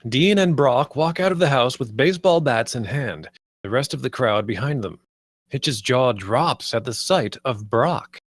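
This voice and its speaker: A man's voice: mid-range voice, animated, unhurried, dry recording, studio quality. A man reading stage directions aloud as scripted screenplay narration.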